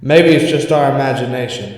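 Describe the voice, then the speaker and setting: A man's voice: mid-range voice, a little fast, darkish tone, lightly acted. A man reading the part of a wary, doubting cowherd in a spooky storybook tale. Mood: worried. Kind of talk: storybook character dialogue. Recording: echoey reverberant hall sound.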